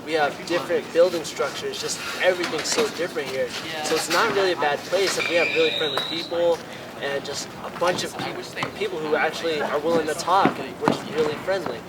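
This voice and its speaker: A man's voice: fairly high pitch, fairly quick. A nineteen-year-old man talking casually about parkour in an interview, rambling with lots of "I mean" fillers, recorded outdoors on a campus. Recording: distant mic, busy outdoor noise.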